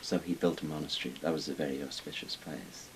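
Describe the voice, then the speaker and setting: Older male expert from Wales, low-pitched, informal explainer, hissy room recording. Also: fairly even intonation, fast talker, low-quality recording. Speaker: interviewed expert on Chinese dragons. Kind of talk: casual explanatory talk. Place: small book-lined room indoors. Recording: hissy background noise.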